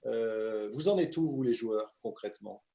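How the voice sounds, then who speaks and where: A man hosting a casual interview from his home, an emphatic and lively presenter. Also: medium pitch, moderate pace, gravelly, roomy sound. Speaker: host. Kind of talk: casual video-call interview.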